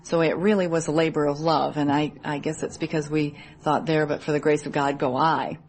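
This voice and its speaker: Woman, thirties, Midwestern American, low register, earnest and articulate radio interview guest. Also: fairly even intonation, fairly quick, darkish tone. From Midwest United States. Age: 30s. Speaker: interview guest, military wife. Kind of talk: radio interview, answering questions.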